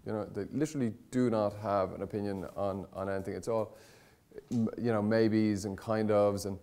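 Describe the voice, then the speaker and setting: A man's voice: on the low side, steady tone, normal speed, mellow. A man giving an informal conference presentation in a conversational, rambling way, often correcting himself and speaking in blunt, colloquial terms. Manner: conversational, hesitant, rambling with frequent self-corrections. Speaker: conference speaker on startup content marketing.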